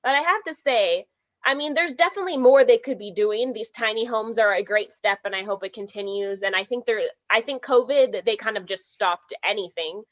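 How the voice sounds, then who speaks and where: A Canadian woman, a filmmaker guest on a podcast call, talking in an animated way, laughing often and saying "like" a lot while hot and sweating. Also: mid-range voice, fairly quick, dull audio. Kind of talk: casual remote interview chat.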